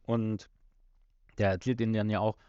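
Male podcast host, casual discussion, halting delivery, dry and slightly muffled recording. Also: fairly low pitch, little pitch movement, mellow, clear, resonant tone, dry recording. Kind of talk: casual discussion of an audio drama episode. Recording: slightly dull, lo-fi sound.

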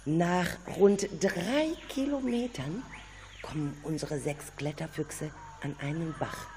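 A man's voice: on the high side, slow, darkish tone, slight background noise. A man narrating a children's radio play in a dramatic, suspenseful way, with exclamations. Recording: music playing behind the voice. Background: music.

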